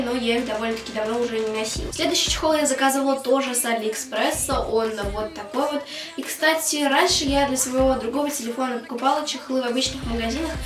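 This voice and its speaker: A thirteen-year-old girl from Russia chatting casually to camera as a video blogger, informal and rambling in a girlish way. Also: on the high side. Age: 13 years old. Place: small attic room at home. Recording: roomy echo, distant mic, music behind. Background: background music.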